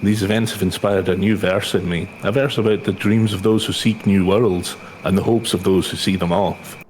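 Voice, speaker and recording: A man voicing a kindly, poetic wandering minstrel from a fantasy game in flowery, measured speech. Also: sits low, fairly even intonation, a little mellow, slight background noise, natural voice, rough recording. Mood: reflective, reassuring. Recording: music in the background.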